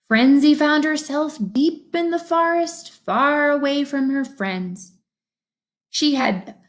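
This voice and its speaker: Female children's storybook reader: expressive, animated intonation, slow deliberate pacing. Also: a little high. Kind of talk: expressive scripted children's narration.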